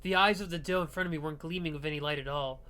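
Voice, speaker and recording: A game player with a casual, expressive delivery, narrating and commenting on a visual novel. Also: medium pitch, racing. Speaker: game streamer or let's player.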